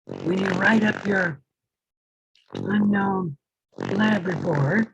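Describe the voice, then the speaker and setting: A hoarse man teaching a lab class over a video call, halting with many fillers, his faulty microphone distorting his voice. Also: high voice, a bit bright, muffled recording, slight background noise. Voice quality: distorted mic that sounds like Darth Vader. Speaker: lab instructor. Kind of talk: online class lecture. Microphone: faulty computer mic on a video call.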